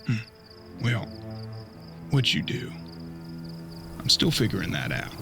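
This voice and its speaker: A man acts as a young frontier apprentice, earnest but skeptical. The voice is fairly low with a crackly fry, folksy and questioning, and music plays clearly behind it. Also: very animated, slow, exaggerated voice. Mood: doubtful, questioning. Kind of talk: western audio drama acting.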